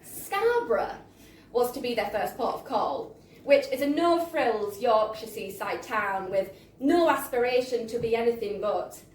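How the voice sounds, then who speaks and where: A woman reading a story aloud live at a venue, with an expressive, theatrical delivery. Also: a little high, unhurried. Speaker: reader of a book excerpt. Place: live book launch in a bar. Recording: echoey live venue, distant mic, faint noise.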